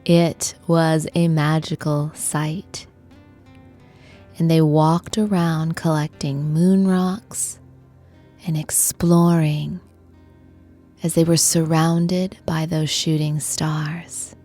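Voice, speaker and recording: A woman narrating a children's bedtime story as a guided meditation, in a gentle, soothing, hushed manner. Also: low voice, little pitch movement, drawn-out words, soft background music.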